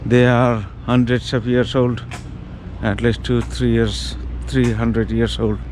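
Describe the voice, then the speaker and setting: A man in his fifties talks slowly and haltingly, full of ums and uhs, as he walks city streets narrating a travel vlog. His voice is picked up by a handheld action camera at arm's length, with clear street and traffic noise all around him. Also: mid-range voice, level tone, darkish tone. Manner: slow, hesitant, many ums and uhs. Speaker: travel vlogger. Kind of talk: walking-tour vlog narration. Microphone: action camera held at arm's length.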